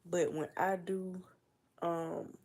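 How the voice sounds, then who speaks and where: Young woman, livestream host, congested cold voice, low-pitched with some vocal fry, chatty and meandering. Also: level tone, extremely slow, a little mellow. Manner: chatty, meandering, full of fillers and asides. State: stuffy nose from a cold. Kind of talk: casual rambling livestream chat.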